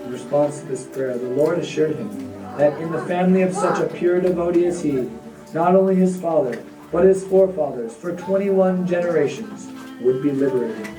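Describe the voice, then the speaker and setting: A storyteller with a mid-range voice narrates a devotional tale in measured phrases. The sound is roomy, with music playing along. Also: medium pitch. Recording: echoey, distant, music behind.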